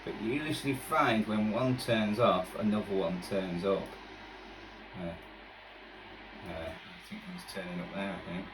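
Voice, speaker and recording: A man's voice: low-pitched, steady tone, a little slow. A man giving casual, unscripted commentary as a radio hobbyist, rambling hesitantly and thinking aloud while he tunes. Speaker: shortwave radio hobbyist presenter. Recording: distant mic with radio audio behind.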